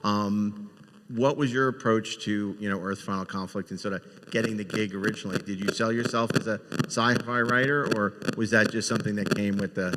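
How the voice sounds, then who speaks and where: Low-voiced man moderating in an echoey hall. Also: steady tone, brisk, a bit bright. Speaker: panel moderator. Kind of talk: casual live panel questioning. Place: large indoor convention panel room. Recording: echoey hall with long reverb.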